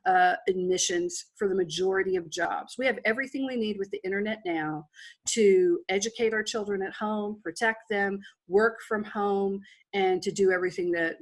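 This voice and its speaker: A middle-aged woman from Texas talks through her story as a podcast guest. Her voice is husky and her pitch sits in the middle. She is candid and driven, often restarting a phrase as she pushes on. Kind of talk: casual interview storytelling.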